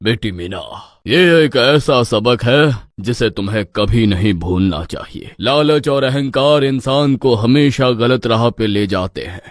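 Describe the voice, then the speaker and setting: A man's voice: mid-range voice, lively intonation, slow, hardly any pauses, dry recording, clean studio sound. A man playing a stern, righteous village priest in a moral tale, solemn and commanding. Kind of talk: dramatic moral-tale voice acting.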